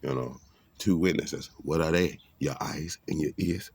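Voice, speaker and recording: A man with a very deep, gravelly voice delivers a rambling, preachy monologue. His tone is husky and rough around the edges, and he talks in a streetwise way. He keeps weaving biblical references into casual talk. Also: unhurried, a little mellow, no room sound. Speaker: vlogger giving a monologue.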